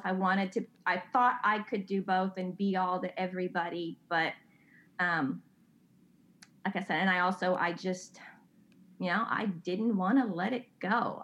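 A middle-aged woman, speaking candidly as an interview guest, earnest and confessional, often restarting her sentences. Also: mid-range voice. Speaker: guest, designer and child advocate. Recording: slightly dull lo-fi sound.

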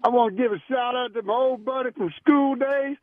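A man's voice: very high-pitched, brisk, phone-quality sound. A man calling in to a radio game, joking in a folksy way. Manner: jokey, folksy. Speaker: caller. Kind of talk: phone-in game chat.

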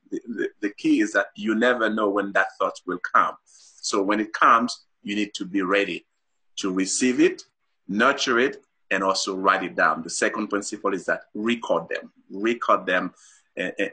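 A man's voice: on the low side, moderate pace, bright, forceful tone, breathy edge, poor audio. A middle-aged man from Haiti, a guest author on a talk show, explains his ideas earnestly with many fillers and restarts.

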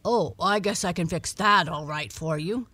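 Woman putting on a gruff, hearty storybook dog. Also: lowish voice, lively intonation, takes it slowly, a bit bright, no room sound, exaggerated voice. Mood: friendly. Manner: blunt, hearty, folksy. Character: gruff but kindly, boastful male dog. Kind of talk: children's storybook character voice.

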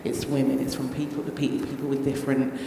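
A woman speaking on a panel, soft-spoken and restarting her phrases. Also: very deep voice, flat delivery, fast talker, noisy background. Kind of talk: panel discussion. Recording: very echoey hall.

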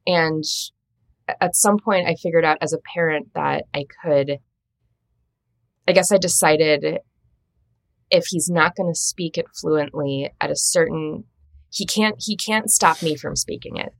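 A woman from Minnesota whose first language is Latvian talks in a low voice with a gravelly, creaky edge. She tells stories in an easygoing, reflective way, as an interview guest. The tone is conversational and unhurried. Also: no room sound. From Minnesota, United States. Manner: reflective, storytelling, warm laughs. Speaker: translator and editor, interview guest.

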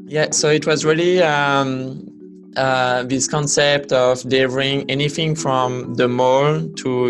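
French founder, man, monotone, stumbling speech, music bed. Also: mid-range voice. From France. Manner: hesitant, many restarts and fillers. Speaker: startup founder guest. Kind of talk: casual interview answers.

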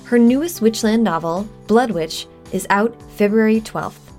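A woman's voice: a little high, unhurried, darkish tone, faint music behind. A woman hosting a podcast interview in a chatty, enthusiastic manner. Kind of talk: scripted introduction and casual interview chat.

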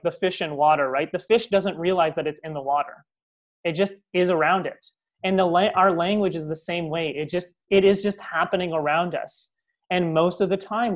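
A man speaking as an interview guest, explaining ideas in a coaching tone with frequent restarts and tag questions. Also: a little high. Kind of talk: casual interview conversation.

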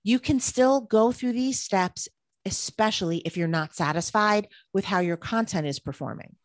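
A woman's voice: medium pitch, moderate pace, no room sound. Upbeat woman co-hosting a podcast. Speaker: podcast co-host. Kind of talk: scripted podcast chat.

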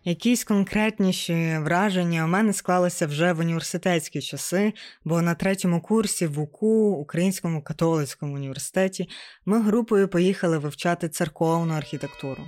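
A woman's voice: on the low side, brisk, a little mellow. A woman narrates a personal audio essay in a reflective storytelling way. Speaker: podcast narrator. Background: background music.

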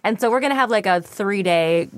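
A woman's voice: medium pitch, fairly quick, dry recording, clean studio sound. A woman voice actor, a guest in a casual interview, talking enthusiastically and swearing now and then.

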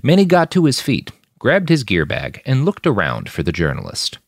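A man reading dramatic fiction aloud in an expressive, emphatic storytelling manner. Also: mid-range voice, normal speed, gravelly, no echo, clean studio sound. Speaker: author narrating own fiction. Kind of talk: dramatic audiobook narration.

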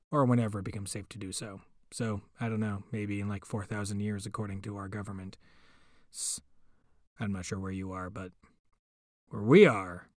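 A man's voice: medium pitch, dry recording, studio quality. Chatty man introducing a reader. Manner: chatty, rambling asides with fillers. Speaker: podcast host. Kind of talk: casual spoken introduction.

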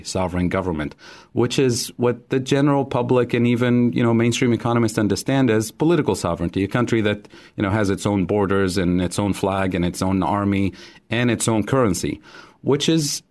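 A man in his forties from Tunisia, a guest economist, giving a measured explanation in an interview. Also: lowish voice, a little mellow. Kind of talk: interview answer.

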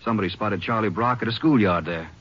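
An actor playing a practical Texas Ranger partner in a 1950s radio drama, American, clipped and businesslike. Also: male voice, lowish voice, quick pace, fairly bright tone, soft background music. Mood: brisk. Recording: muffled, noisy old radio recording.

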